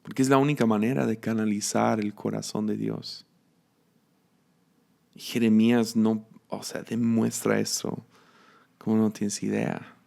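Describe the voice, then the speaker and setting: A man with a Mexican accent giving a reflective devotional teaching, musing aloud with restarts and soft laughs. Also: lowish voice, flat delivery, unhurried, gravelly, pauses often. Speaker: preacher.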